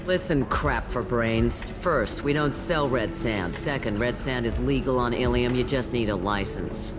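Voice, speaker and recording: A woman's voice: a bass voice, measured pace. A woman playing a world-weary, foul-mouthed old alien matriarch bartender in a video game, with sardonic, dry delivery. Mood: irritated, dry. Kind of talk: video game character acting. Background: loud background music.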